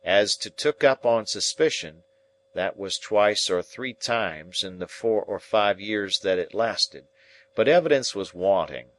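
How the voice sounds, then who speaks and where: A man voicing a rough old male convict, gruff and plainspoken, in a rustic dialect. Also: on the low side, animated, unhurried, a bit bright. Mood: grim storytelling.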